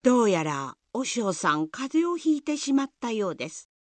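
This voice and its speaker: A woman's voice: on the high side, sing-song, normal speed, no room sound. A woman narrator reading a scripted Japanese folktale in the style of a radio drama. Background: music.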